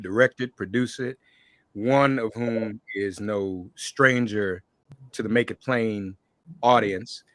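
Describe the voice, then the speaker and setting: A man's voice: fairly low pitch, fairly bright tone, no room sound. A man hosting a conversational interview show, welcoming guests and addressing them directly. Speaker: podcast host interviewing guests.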